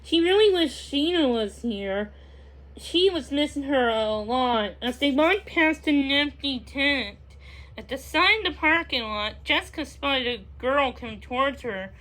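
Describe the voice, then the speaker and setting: Female read-aloud narrator for a kids' book, high voice, unhurried and halting, with repeated words. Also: animated, a bit bright, poor audio. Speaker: storybook reader.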